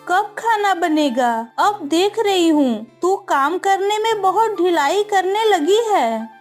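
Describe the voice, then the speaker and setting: कार्टून कहानी में नौकरों को डाँटती, रोबदार और बेसब्र ज़मींदार की पत्नी का किरदार निभाती एक महिला।. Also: female voice, very high voice, heavily acted. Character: bossy, impatient landlord's wife scolding her servants. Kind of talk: cartoon moral story acting.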